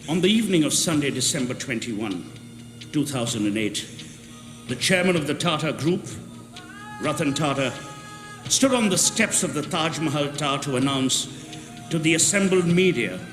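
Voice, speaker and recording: Man reader, slightly high voice, echoing hall, music underneath. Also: lively intonation, normal speed, pauses often. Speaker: guest reader. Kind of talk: reading a book excerpt aloud. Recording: roomy hall with music behind.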